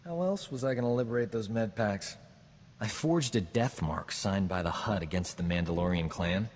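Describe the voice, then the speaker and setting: A man playing a boastful smooth-talking rogue doctor. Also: on the low side, moderate pace, a little mellow, in a large hall, lightly acted. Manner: boastful, glib, self-satisfied. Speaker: companion character. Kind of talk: video game voice acting.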